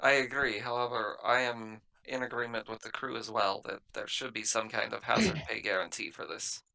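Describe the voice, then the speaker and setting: A man playing a tabletop role-playing game, talking through plans and in-character lines in a measured, articulate way. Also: mid-range voice, fairly even intonation, vocal fry, pushed and bright, low-quality recording. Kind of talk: improvised in-character talk and planning.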